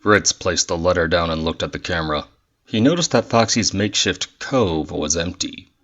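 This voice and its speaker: A man's voice: deep voice, normal speed, a bit bright, lo-fi sound. A man reading scripted story narration for an audio drama.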